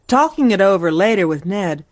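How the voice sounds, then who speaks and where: A woman narrator reading a literary ghost story in a measured, formal storytelling manner. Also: medium pitch, expressive, darkish tone. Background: faint music.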